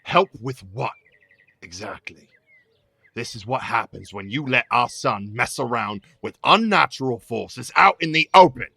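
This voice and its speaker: A man's voice: medium pitch, lively intonation, measured pace, creaky, pushed and bright, slightly rough, no room sound, exaggerated voice. A man playing an angry, domineering father in an audio drama, confrontational and demanding. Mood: suspicious, angry.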